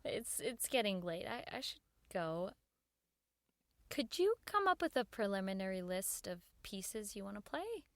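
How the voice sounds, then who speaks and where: A woman's voice: mid-range voice, sing-song, a little slow, no echo, clean studio sound. An actress playing an earnest, nervous woman who works in network radio, in radio drama dialogue and narration. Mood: warm, polite.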